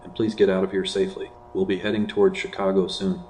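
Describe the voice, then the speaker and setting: A deep male voice sits in loud noise. An actor plays a worried, gruff man in a horror story, talking casually in short, terse bursts. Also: little pitch movement, fast talker, close to an everyday voice. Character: gruff, worried boyfriend searching for his girlfriend. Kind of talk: amateur horror drama dialogue. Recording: low voice under loud noise, clicks.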